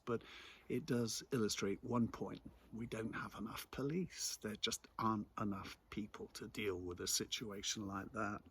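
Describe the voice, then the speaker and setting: Middle-aged English male, slightly deep and rough-edged, for a reflective, conversational solo commentary. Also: a bit bright. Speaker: local commentator and host. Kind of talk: reflective spoken monologue.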